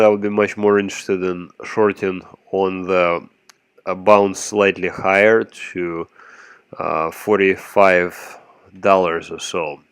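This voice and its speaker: Brief: low, smooth male voice, dry close sound, host with a cold walking through market charts, measured and halting. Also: no room sound. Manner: measured, explanatory, with frequent ums. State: has a cold. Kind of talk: screen-share market recap.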